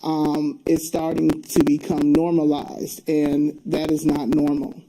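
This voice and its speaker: A woman's voice: low voice, monotone, slow pace, dark tone, a little hiss or hum, rough recording. A woman from Albany, New York, speaking earnestly and emphatically as an interview guest. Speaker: interview guest, community advocate.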